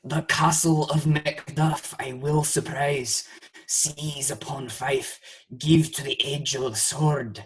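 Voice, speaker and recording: Murderous tyrant king for stage drama, played by a man: husky voice, slow, declamatory delivery. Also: a little high, fairly even intonation, rough recording. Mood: menacing. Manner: declamatory, deliberate. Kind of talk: stage-style Shakespearean acting. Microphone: video call recording.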